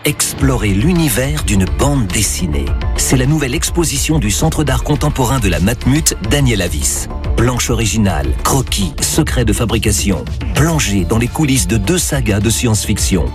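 A man voicing a radio ad in an inviting tone, his pitch moving freely up and down. Music plays underneath. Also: expressive, moderate pace. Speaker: ad voice-over. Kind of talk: scripted exhibition ad.

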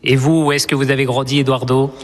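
A man's voice: mid-range voice, level tone, fast. A man reporting for local radio in a playful, self-mocking way.

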